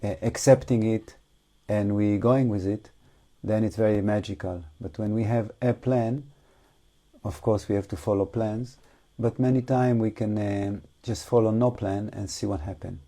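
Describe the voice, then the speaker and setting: Lowish really mellow guy leading a meditation, not a native english speaker, thinks out loud, lots of long pauses. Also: fairly even intonation. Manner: halting, reflective, with long pauses. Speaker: meditation teacher. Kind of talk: unscripted guided meditation talk.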